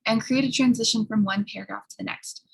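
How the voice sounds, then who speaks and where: Woman, writing instructor, slightly hoarse, gravelly fry, explanatory lecture delivery, frequent check-in tags. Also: fairly high pitch, steady tone, fast, poor audio.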